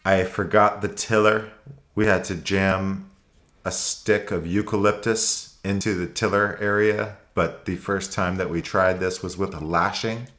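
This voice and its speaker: Low-voiced man narrating his sailing trip. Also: a little slow. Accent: American. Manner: relaxed, self-deprecating storytelling. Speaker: sailing vlogger.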